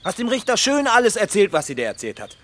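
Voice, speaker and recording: A man's voice: sits high, huge pitch swings, fast talker, a bit bright, slight background noise, exaggerated voice. A man playing a bitter, crude, jilted young bridegroom in a German radio drama, aggressive and mocking. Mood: bitter anger.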